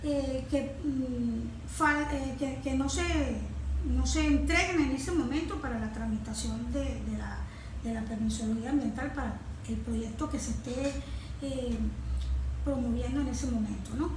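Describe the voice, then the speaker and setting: Venezuelan woman, high voice, expert interviewee; halting, repetitive delivery in a noisy room. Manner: halting, searching for words, repeating phrases. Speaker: environmental consultant and forestry engineer being interviewed. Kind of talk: interview answers, explanatory talk. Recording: echoey room with clear background noise.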